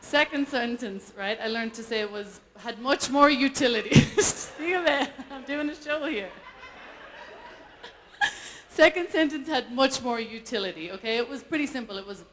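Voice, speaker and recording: A woman from Ireland with an Irish accent, performing an animated, self-mocking stand-up set on a live stage and laughing often. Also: fairly high pitch, moderate pace, slight background noise. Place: live event stage before an audience.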